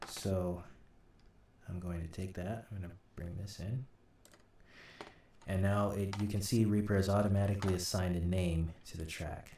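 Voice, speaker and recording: Low, mellow man in echoey room. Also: fairly even intonation, moderate pace. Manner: thinking aloud while working. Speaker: audio editor giving a tutorial. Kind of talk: casual screen-recorded tutorial commentary. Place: indoors at a computer. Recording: echoey, distant mic, keyboard clicks, faint noise.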